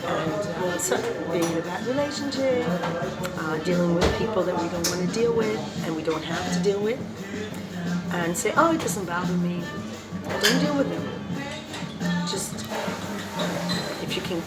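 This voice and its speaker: A woman with a loud voice reading and talking casually about recovery, laughing between points. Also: on the low side, lively intonation, moderate pace, pauses often. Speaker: recovery vlogger. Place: coffee shop. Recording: loud music in a coffee shop.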